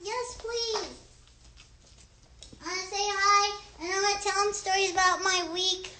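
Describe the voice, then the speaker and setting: Little girl puppet, super high and bright, chatty n eager kids show voice. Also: unhurried, heavily acted. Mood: pleading, eager. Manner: childlike, excitable storytelling.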